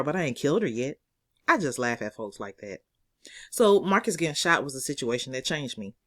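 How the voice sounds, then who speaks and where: A woman's voice: low voice, dry recording, clean studio sound. Woman narrating a sassy first-person story. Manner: conversational, sassy child's-eye storytelling. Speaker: author reading own novel.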